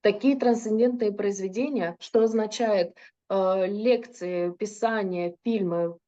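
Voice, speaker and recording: A woman interprets a lecture sentence by sentence, fluent but now and then stopping to correct herself. Her voice is mellow and warm. The recording sounds dull and muffled. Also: medium pitch, a little slow. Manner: fluent, with occasional hesitations and self-corrections. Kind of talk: consecutive interpretation into Russian.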